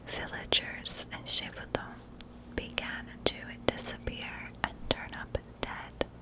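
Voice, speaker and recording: Slow, whispering woman ASMR storyteller. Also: rough recording. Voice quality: soft, breathy whisper. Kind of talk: whispered ASMR narration of a historical mystery.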